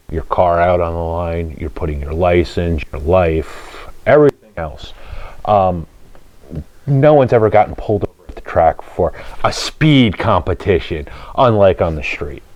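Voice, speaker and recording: Low-voiced man telling casual stories, dull sound. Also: a little mellow, dry recording. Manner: plain-spoken, colloquial. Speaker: host of a car channel, drag racer. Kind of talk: casual storytelling and explaining to viewers.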